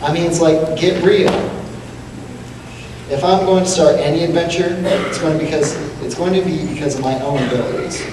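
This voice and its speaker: A man's voice: on the high side, moderate pace. A young man, a student, performing a readers theatre monologue on a stage. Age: teens to young adult. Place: school auditorium stage. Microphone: stand mic, heard from distant camera. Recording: echoey hall, distant mic, noisy.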